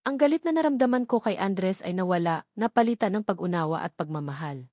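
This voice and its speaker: A woman narrates a romantic drama in the first person, in a scripted storytelling style. Her voice sits at a medium pitch, and the sound is very dry with hardly any room on it. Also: animated, brisk, mellow, no room sound.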